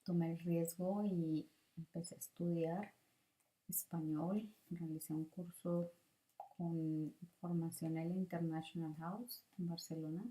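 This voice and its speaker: A middle-aged woman gives a student presentation in a hesitant, halting way with pauses. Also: on the low side, steady tone, warm tone, low-quality recording. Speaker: student teacher giving a presentation. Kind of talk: prepared spoken presentation, partly improvised.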